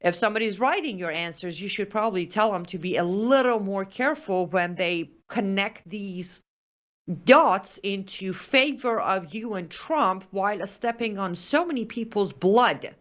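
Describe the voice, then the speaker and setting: A woman's voice: medium pitch, lively intonation, a little slow, fairly bright tone. A woman hosting a political commentary show, making her case point by point with pointed sarcasm. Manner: sarcastic, emphatic, building point by point. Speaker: host.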